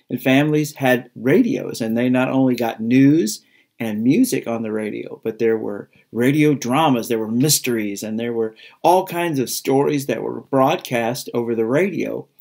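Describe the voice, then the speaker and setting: Man, creaky mid-pitched voice, informal introduction, halting, explanatory. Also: a little mellow. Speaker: school staff member introducing a show. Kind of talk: informal spoken introduction to camera.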